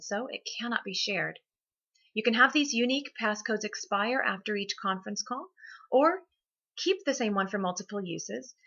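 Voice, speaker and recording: Bright-voiced woman presenting scripted tips. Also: mid-range voice, lo-fi sound. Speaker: host of a business tips segment. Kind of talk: scripted instructional narration.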